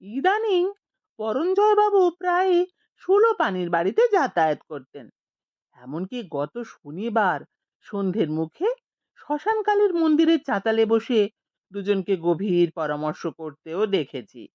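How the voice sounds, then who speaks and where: A woman's voice: medium pitch, very animated, a little slow, darkish tone, no echo, muffled recording, pitched below the performer's own voice. A woman voicing a cautious village man who talks in a hesitant, confiding way.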